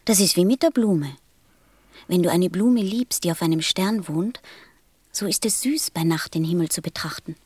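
A woman playing a gentle, wistful small boy from a star in a German radio play, speaking softly, tenderly and solemnly. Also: lowish voice, moderate pace, breathy edge, no room sound. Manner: tender, solemn, simply spoken.

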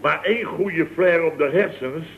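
A man's voice: on the high side, moderate pace, creaky, slight background noise. A man acting a middle-aged, jovial, blustering boss in a radio comedy, bantering sarcastically with lots of exclamations. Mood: animated mockery. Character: blustering, jovial, mocking boss of a building firm. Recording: muffled old radio broadcast recording.

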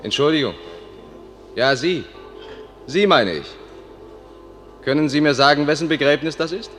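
An actor playing a solemn, deferential guide to a ruler in a German radio play, speaking in a measured, formal way. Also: male voice, fairly high pitch, lively intonation, fairly bright tone, soft background music. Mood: insistent. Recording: radio play with long reverb.

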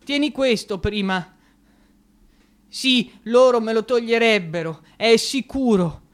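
A man's voice: very high voice, takes it slowly, clean tone. A man acting in an Italian radio drama as an anguished young clerk losing his mind, pleading and blaming himself. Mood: desperate farewell. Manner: anguished, pleading, emotionally overwrought.

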